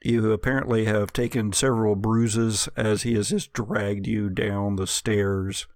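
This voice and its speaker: A man narrates a gothic horror tabletop game as game master. His pace is slow and deliberate, with descriptive, suspenseful phrasing, and the recording is close and dry. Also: lowish voice, little pitch movement, dry recording.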